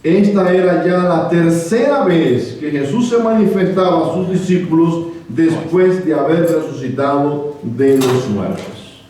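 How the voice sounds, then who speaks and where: Cuban guy preaching from far off in a really echoey church, kinda highish voice, says eh a lot. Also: lively intonation, dark tone. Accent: Cuban Spanish. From Cuba. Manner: measured, with frequent hesitant "eh" fillers. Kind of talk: sermon with scripture reading. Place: church sanctuary.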